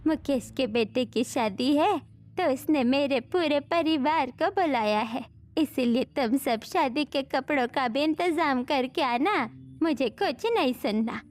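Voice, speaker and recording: A woman playing an affectionate, insistent elderly village grandmother in an animated family story, coaxing and bossy. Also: high-pitched, unhurried.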